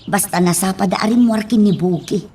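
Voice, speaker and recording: A woman acting a gently firm, deferential household nanny in a radio drama. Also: medium pitch, fairly quick, slightly rough, close to an everyday voice. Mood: apologetic, firm. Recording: faint background noise.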